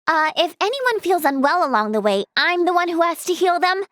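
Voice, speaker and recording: A woman's voice: extremely high pitch, big pitch swings, normal speed, a bit bright, dry recording, studio quality, heavily acted. A woman playing an earnest, caring young female healer and researcher in a video game, with a hesitant, exclamatory way of talking. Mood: thoughtful, matter-of-fact. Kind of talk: English video game voice acting.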